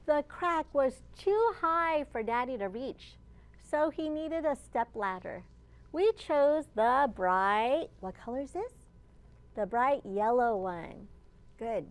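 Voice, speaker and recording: A woman children's librarian reading aloud to young children in an encouraging storytime manner, pausing to ask simple questions. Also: high-pitched, lively intonation. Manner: slow, encouraging, asks listeners simple questions.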